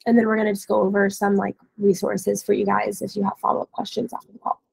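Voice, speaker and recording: A woman in her thirties, hoarse and scratchy from a cold, giving a casual software training webinar over a headset. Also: mid-range voice, monotone, fast, no room sound. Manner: casual, explanatory. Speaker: webinar trainer, dealer support staff. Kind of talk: informal software training walkthrough. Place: indoor room, on a video call.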